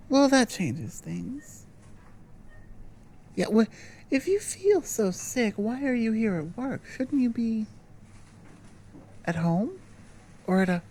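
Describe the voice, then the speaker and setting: Slow, hesitant goblin girl played by a woman. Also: medium pitch, a little mellow, a little hiss or hum. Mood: concerned, insistent. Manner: hesitant, rambling, earnest, halting. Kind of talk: ASMR roleplay audio drama.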